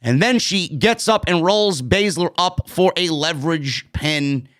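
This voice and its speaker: Brief: late-30s New York guy, blunt animated wrestling host, higher-pitched voice, close studio mic. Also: measured pace. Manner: animated, gestures a lot, blunt and swearing. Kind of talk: casual show review. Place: streaming studio with neon bar backdrop. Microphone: broadcast mic on stand, headphones.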